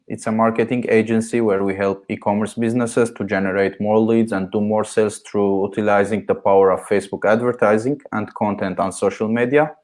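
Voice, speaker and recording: A man's voice: lowish voice, fairly even intonation, a little fast, hardly any pauses, a little mellow. A young man from Syria, a podcast guest, answering earnestly and filling his speech with many "like"s. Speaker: guest, marketing agency founder. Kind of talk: interview answers.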